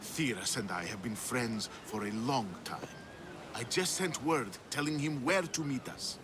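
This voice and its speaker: A man's voice: medium pitch, a little slow, background noise, lightly acted. An actor playing a fearful male artist on the run in a video game, agitated and earnest. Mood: relieved. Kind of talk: video game cutscene acting.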